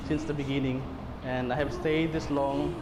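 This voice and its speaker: A man speaks fluently and in a measured way about his long time at the company. His middle-pitched voice rings a little in the room. Background noise runs steadily behind him. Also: level tone, roomy sound. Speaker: company employee. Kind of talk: interview testimonial.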